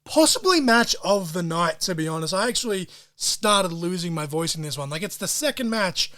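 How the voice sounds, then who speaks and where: A man hosting a solo podcast, talking in an excitable, profane way full of wrestling-fan slang. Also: high-pitched, moderate pace. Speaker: podcast host.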